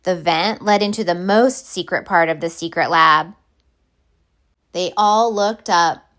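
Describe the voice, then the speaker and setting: A woman reading a children's picture book aloud in deliberate, expressive narration. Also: on the low side. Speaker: storybook reader.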